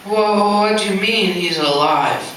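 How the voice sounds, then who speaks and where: A performer playing an easygoing, excitable male disciple in an amateur puppet show, with a playful manner. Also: mid-range voice, a little slow, natural voice. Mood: surprised. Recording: very echoey, distant mic, clicks and noise.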